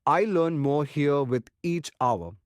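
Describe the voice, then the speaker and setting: An actor playing a kindly, courtly old doctor in an audiobook, speaking formally and earnestly. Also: male voice, fairly high pitch, lively intonation, takes it slowly, clean tone, no echo, clean studio sound. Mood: pleased, courtly. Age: older. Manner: earnest, courtly, formal, quaint foreign phrasing. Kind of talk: audiobook character acting.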